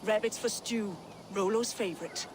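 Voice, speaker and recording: A woman's voice: medium pitch, moderate pace, noisy background, lightly acted. A woman playing a proud, defiant and spiteful Viking shield-maiden in a video game, speaking tersely and with scorn. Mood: proud, guarded. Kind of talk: video game character acting.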